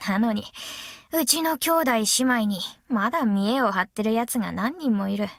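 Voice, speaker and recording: A woman voicing a brash, easygoing, long-lived female master metalsmith in an anime game, with rough, cocky, tomboyish speech. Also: a little high, normal speed, no echo, clean studio sound. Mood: musing, self-assured. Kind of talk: anime mobile game character voice lines.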